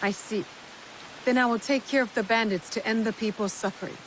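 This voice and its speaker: A woman's voice: on the high side, steady tone, fairly quick, slight background noise, natural voice, poor audio. A woman playing a composed, earnest female mercenary in a feudal Japan game, reserved and plain-spoken. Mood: earnest, questioning.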